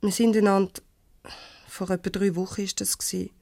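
A woman playing a warm-hearted, defensive divorcee in a Swiss German radio comedy, conversational and a little hesitant. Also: mid-range voice, flat delivery, normal speed, vocal fry, no room sound, lightly acted. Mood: confiding. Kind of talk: naturalistic 1970s radio comedy acting.

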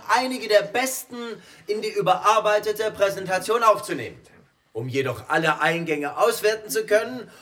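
A man's voice: high voice, a bit bright. An actor playing a pompous new male company boss in a radio drama, giving a condescending speech to his staff. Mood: smug.

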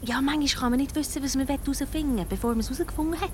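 A woman playing a bold young girl detective in a children's radio play, pert and quick to retort. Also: sits high, slight background noise, close to an everyday voice. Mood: secretive.

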